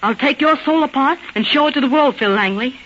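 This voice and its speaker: A woman's voice: high voice, fairly quick, a bit bright. An actress playing a proud, scorned society woman in an old-time radio drama, melodramatic and imperious. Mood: vengeful, dramatic. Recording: dull, noisy old radio recording.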